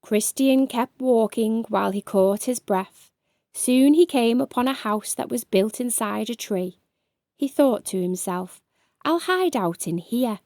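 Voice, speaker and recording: A woman reads a children's bedtime story as narrator. Her voice is fairly high and her pace very slow, with a dry, close sound free of echo. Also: mellow.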